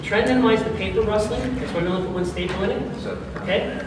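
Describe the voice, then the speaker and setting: High-pitched man presenting in an echoey hall. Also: fast. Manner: informal, instructing. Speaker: audio drama producer directing and presenting. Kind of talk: live convention presentation to an audience. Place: large convention hall, live presentation. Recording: echoey, noisy convention hall, distant mic.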